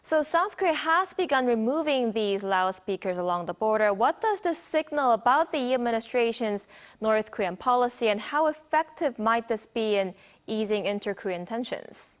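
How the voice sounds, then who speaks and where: Woman, news anchor and interviewer. Slightly high voice, measured and formal broadcast delivery. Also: lively intonation.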